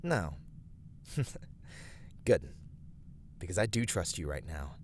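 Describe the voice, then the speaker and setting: Man acting a low-voiced, steady fantasy adventurer. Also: slight background noise, full character voice. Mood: calm, trusting. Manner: measured, strategic, encouraging, slightly formal wording. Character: steady, righteous male fantasy adventurer and trusted companion. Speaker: voice actor in a roleplay. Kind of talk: scripted ASMR fantasy roleplay addressed to the listener.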